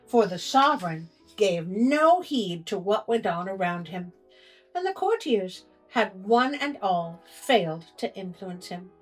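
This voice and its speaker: A woman's voice: mid-range voice, big pitch swings, unhurried, soft background music, low-quality recording. A woman storyteller reading a tale aloud in a theatrical, tongue-in-cheek way, as a show host would. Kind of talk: storybook reading with comic asides.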